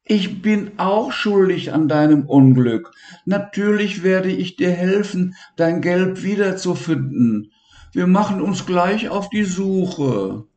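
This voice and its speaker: A man's voice: high voice, lively intonation, takes it slowly, a little mellow, voice pushed up, full character voice. A man voicing an eager, caring young boy who is a helpful friend, speaking earnestly in a children's story. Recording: echoey room.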